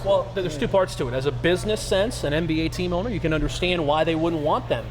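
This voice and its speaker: A man's voice: a little high, quick pace. A man in his thirties giving his analysis on a sports talk panel. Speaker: radio play-by-play voice, panelist. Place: restaurant sports bar. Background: music.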